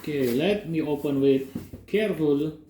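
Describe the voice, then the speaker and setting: A man with a Russian accent speaking halting English, casually unboxing products in a vlog. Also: fairly high pitch, mellow, echoey room, slight background noise. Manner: slow, halting non-native English with pauses. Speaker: unboxing vlogger.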